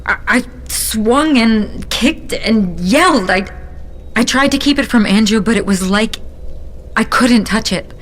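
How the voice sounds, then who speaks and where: Slow-speaking, haunted zealot woman. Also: fairly high pitch, expressive. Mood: anguished. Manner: intense, deliberate, solemn. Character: haunted, zealous young woman on a deadly mission. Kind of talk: audio drama acting, confessional monologue.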